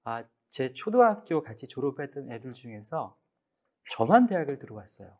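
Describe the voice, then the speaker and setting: A man in his fifties from Korea's Gangwon region, a professor moderating a book talk in an earnest, slightly halting way. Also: mid-range voice, big pitch swings, mellow. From Gangwon Province, Korea. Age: 50s.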